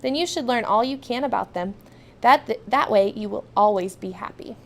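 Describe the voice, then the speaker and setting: A woman reads a kindly male advisor in a children's storybook. The voice is gentle and fairly high, with a mild, reassuring, unhurried way of talking. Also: natural voice.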